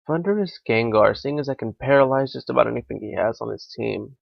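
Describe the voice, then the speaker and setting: A young man, slightly hoarse and raspy from being sick, giving live commentary on a competitive game and thinking out loud with frequent fillers. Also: medium pitch, no echo, muffled recording. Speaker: gaming commentator.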